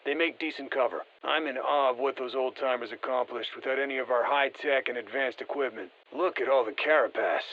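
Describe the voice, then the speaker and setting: An actor playing a seasoned, wry special forces operative in a video game, speaking matter-of-factly through a radio filter. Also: male voice, medium pitch, fairly quick, hardly any pauses, lo-fi sound. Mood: wry, reflective. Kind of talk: scripted game voice lines, field commentary.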